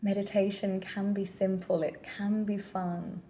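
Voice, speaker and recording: A woman leading a guided meditation with gentle, unhurried instruction and pauses between phrases. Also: medium pitch, little pitch movement.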